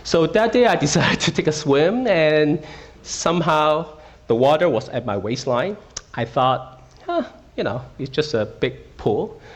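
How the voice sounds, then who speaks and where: A man's voice: fairly high pitch, expressive, a little slow. A middle-aged man from Hong Kong giving a talk at a school, often asking the audience questions and checking in with "okay". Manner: interactive, asks audience questions, frequent okays. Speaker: guest speaker. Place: school auditorium.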